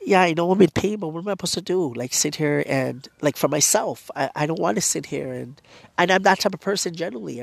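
Podcast host, male, congested and losing his voice, gravelly, dry close recording, rambling earnest delivery. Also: fairly high pitch, no echo. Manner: rambling, filler-heavy, earnest. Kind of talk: casual personal monologue.

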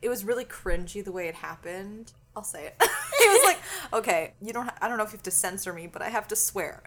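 Woman, twenties, from Canada, casual podcast chat, bright tone, giggly storyteller. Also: medium pitch, sing-song, quick pace. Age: 20s. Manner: giggly, chatty storyteller with frequent laughs. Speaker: podcast co-host.

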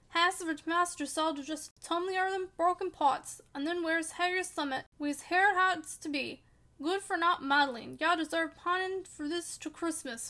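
A woman voicing a grumbling, pious old male servant with a put-on Yorkshire dialect, sneering and scolding in a slow drawl. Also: high-pitched, pushed and bright.

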